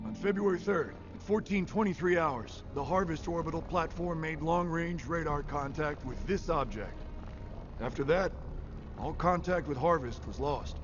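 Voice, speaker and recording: A man playing a grave, resolute senior naval officer, formal and measured, in an animated military sci-fi drama. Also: a little high, close to an everyday voice. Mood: grave briefing. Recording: slightly dull, music behind.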